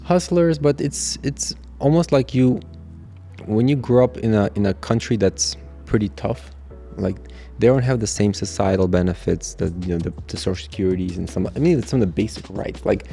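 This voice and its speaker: A young man from Russia, native Russian speaker, with a low, gravelly voice and a mellow, darker tone. He speaks in a reflective, candid way, easing through personal stories in relaxed podcast talk, with faint music behind him. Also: moderate pace. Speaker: guest, catering business owner. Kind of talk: casual podcast conversation.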